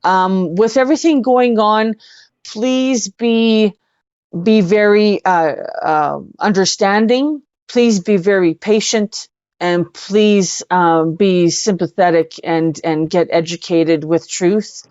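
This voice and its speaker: A Canadian woman talking casually as a guest in an earnest, halting way, often repeating words. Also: mid-range voice, a little mellow, clean tone, dry recording, highs cut off. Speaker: guest, singer-songwriter. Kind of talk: casual live conversation.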